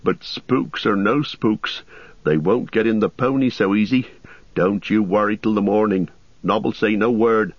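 A man voicing a fussy, excitable middle-aged innkeeper who frets loudly in a raised voice. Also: dry recording, muffled recording. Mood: flustered. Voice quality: excitable, high and loud, quick to fret.

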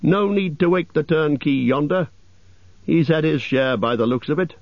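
A man voicing merry, impatient elves in an audiobook, exclaiming loudly and teasingly. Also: fairly high pitch, normal speed, no room sound, highs cut off, pitched above the performer's own voice, close to an everyday voice. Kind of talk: audiobook character voices.

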